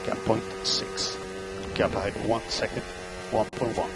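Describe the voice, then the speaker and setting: A man working as a race engineer, giving clipped, steady calls of gaps, lap times and instructions over team radio. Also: on the low side, measured pace, over loud music, rough recording.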